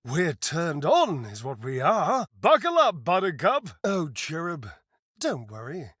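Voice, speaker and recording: A man voicing a florid, brooding veteran acrobat showman, a washing machine come to life, in a video game, with a gravelly voice. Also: fairly high pitch, huge pitch swings, takes it slowly, fairly bright tone, no echo, clean studio sound, full character voice. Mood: playful, roused. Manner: florid, grandiloquent, theatrical. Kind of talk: video game character acting.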